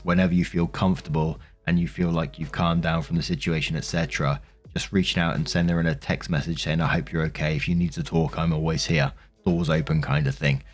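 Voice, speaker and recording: A man's voice: fairly low pitch, a little mellow. A man hosting a story show, reading online posts aloud and commenting on them conversationally into a close microphone. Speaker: host and narrator of a story show. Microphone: close studio-style microphone.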